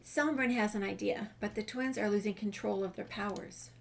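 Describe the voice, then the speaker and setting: A woman's voice: mid-range voice, moderate pace, gravelly, a little hiss or hum. A woman doing a casual game walkthrough, reading dialogue choices aloud and commenting. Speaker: gamer narrating a walkthrough.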